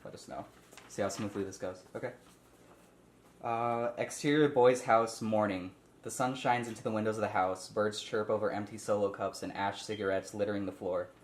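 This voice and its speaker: Echoey room, low male voice, relaxed script read-through, informal asides, faint noise. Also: moderate pace. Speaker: table read leader reading stage directions.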